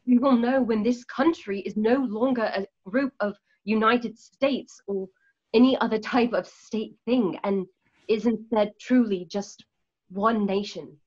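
A woman's voice: fairly high pitch, a little mellow, husky. Woman playing a solemn rebel, muffled call audio. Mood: solemn, earnest. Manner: earnest, oratorical, measured. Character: solemn idealistic woman rebel preaching nature and unity. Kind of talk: remote radio play reading. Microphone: video call. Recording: dull lo-fi video call audio.

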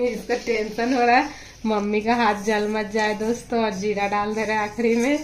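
A woman's voice: mid-range voice, little pitch movement, moderate pace. A middle-aged woman hosting a home cooking vlog, chatting to her viewers while she cooks and laughing often. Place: home kitchen. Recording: echoey kitchen with loud frying noise.

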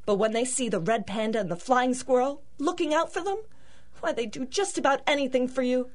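Woman acting a righteous matron, fairly high pitch, protective, stern, earnest, period radio drama. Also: brisk, natural voice. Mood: indignant.

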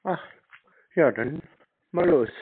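Slightly high man, phone-like sound. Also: animated, slow pace, husky, dry recording. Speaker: scam baiter posing as customer. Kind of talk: casual phone conversation.

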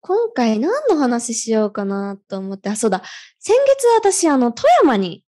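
A woman's voice: a little high, sing-song, a little slow, dark tone. A woman co-hosting a podcast, chatting casually in a polite, conversational way. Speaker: podcast co-host. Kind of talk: casual chat.

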